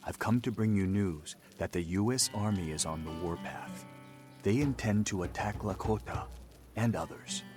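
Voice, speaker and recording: Resolute Old West lead for a game, played by a man: American, serious, fairly deep, direct delivery. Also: moderate pace, over music, close to an everyday voice. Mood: earnest. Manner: plain-spoken, serious, firm. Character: earnest, resolute Old West frontier soldier of fortune. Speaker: hero of a game cutscene. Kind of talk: dramatic game cutscene acting.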